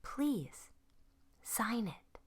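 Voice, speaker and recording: A bold young schoolgirl from a storybook, played by a woman reader. She speaks emphatically in a raised, swooping pitch, with frustration and determination close to the surface. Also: sing-song, drawn-out words, slightly rough, full character voice. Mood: encouraging.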